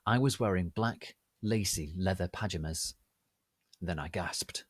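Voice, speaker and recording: A man narrating fan fiction as a theatrical, deliberate dramatic reading. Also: low voice, breathy edge, dry recording, clean studio sound.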